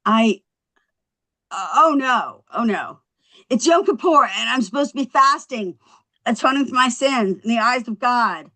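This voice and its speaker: A woman's voice: on the high side, animated, unhurried, bright, forceful tone. A woman at a script table read playing a flustered, protesting young housewife and mother, with an incredulous manner.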